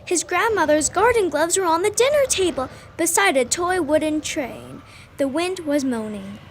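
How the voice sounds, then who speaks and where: A woman's voice: extremely high pitch, lively intonation, moderate pace, slight background noise. A young schoolgirl reading scripted narration for a radio drama. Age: child, about 10.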